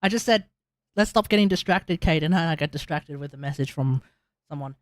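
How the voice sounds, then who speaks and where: A woman's voice: low voice, brisk, no echo. A woman live streaming a game, chatting casually and rambling as she thinks aloud. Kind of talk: casual gameplay livestream chat.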